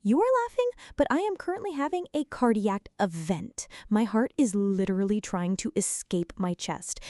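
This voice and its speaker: A woman's voice: medium pitch, warm tone, dry recording, studio quality, natural voice. A woman acting a jumpy, flirty, dramatic friend in an ASMR roleplay, talking to the listener in a teasing, mock-indignant way.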